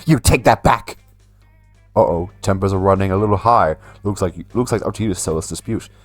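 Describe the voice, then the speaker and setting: A man's voice: low voice, quick pace, no echo, soft background music. A man playing a video game, reading it out and commenting casually, often tripping over words. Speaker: gamer reading a dating game aloud. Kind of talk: playthrough narration with casual commentary.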